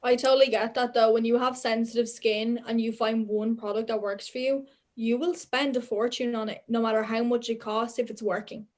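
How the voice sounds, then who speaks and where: Young woman hosting a student podcast, kinda high voice, just chatting. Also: flat delivery, a little fast, few pauses, a little mellow. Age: young adult. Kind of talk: casual podcast chat.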